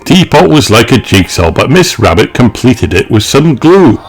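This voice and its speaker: A man reading a children's story aloud as narrator, expressive and deliberate. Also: mid-range voice, faint music behind. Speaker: audiobook narrator.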